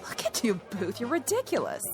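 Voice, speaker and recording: A woman's voice: fairly high pitch, huge pitch swings, a little fast. An actress in a radio drama playing a flippant, flirty, party-loving wife who talks in period slang, with music behind her. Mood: teasing scornful.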